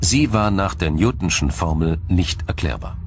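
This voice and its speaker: A man with a low voice delivers scripted documentary narration. He explains in a measured, formal way, sentence by careful sentence, over faint background music. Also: animated.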